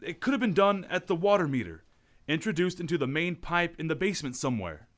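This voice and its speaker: An actor playing a calm, thoughtful gentleman detective in a radio drama. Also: on the high side. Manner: measured and thoughtful.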